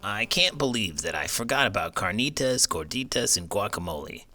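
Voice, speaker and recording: A man's voice: lowish voice, sing-song, moderate pace, loud music behind the voice. A man voicing a chatty, grumbling grandfather sidekick in comic banter for a children's read-aloud show. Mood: regretful. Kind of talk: comic back-and-forth banter.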